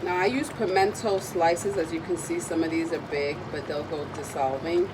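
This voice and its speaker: A woman with a raspy, slightly low voice, still getting over a cold, chats loosely through a cooking demonstration, full of ums and side remarks. She is recorded in a home kitchen, with loud background noise under her voice. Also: steady tone, moderate pace. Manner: chatty, meandering, with frequent ums. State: recovering from a cold. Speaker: cooking video host.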